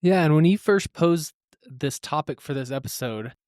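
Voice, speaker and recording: A man's voice: on the high side, moderate pace, darkish tone, dry recording, clean studio sound. Easygoing man co-hosting a podcast. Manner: easygoing, agreeable, hedging with "like". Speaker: podcast co-host. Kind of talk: casual podcast chat.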